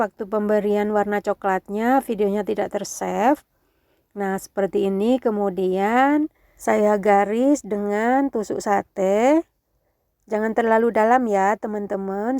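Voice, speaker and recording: A woman with a nasal, congested voice from a cold gives a casual cooking tutorial, gently instructing her viewers. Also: mid-range voice, dry recording, studio quality. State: has a cold. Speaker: home cooking video host.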